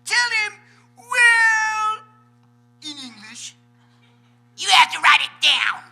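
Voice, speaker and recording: A man's voice: extremely high pitch, sing-song, slow pace, vocal fry, sharp tone, faint music behind, heavily acted. A man voicing a dim, blustering, volatile thug boss in a manic cartoon style for a live comedy reading. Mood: irritated.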